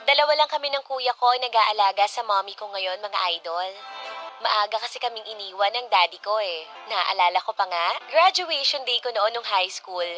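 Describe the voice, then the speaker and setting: A woman's voice: medium pitch, normal speed, bright, forceful tone, soft background music, close to an everyday voice. A woman playing a devoted, protective 25-year-old daughter in a radio drama, speaking confidingly. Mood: wistful, confiding. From Philippines. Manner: confiding, addresses listeners directly. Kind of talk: Tagalog radio drama narration and acting.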